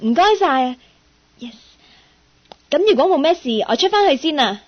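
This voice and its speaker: High-voiced chatty girlish student, played by a woman. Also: animated, moderate pace. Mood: polite, eager. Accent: Hong Kong Cantonese. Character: chatty, sleepy-headed young female university student. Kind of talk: Cantonese radio drama acting. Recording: dull lo-fi audio with music.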